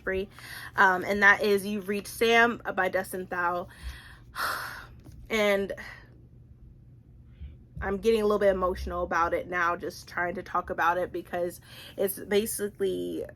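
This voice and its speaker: A woman's voice: medium pitch, pushed and bright. A woman giving a casual, chatty vlog review as a book channel host, with informal, slangy phrasing and phrases repeated for emphasis.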